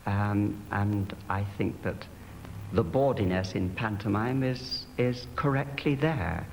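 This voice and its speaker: A man speaking reflectively as a clergyman interviewed, in a thoughtful, measured and hesitant manner. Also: on the low side, vocal fry. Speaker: clergyman who plays pantomime dame. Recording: echoing hall, noisy old archive audio.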